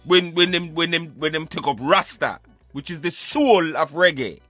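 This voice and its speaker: A man's voice: fairly high pitch, lively intonation, normal speed, sharp tone, dry recording, faint music behind. A man with a Jamaican accent hosting a talk show, giving emphatic, preaching commentary in Patois. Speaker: radio show host.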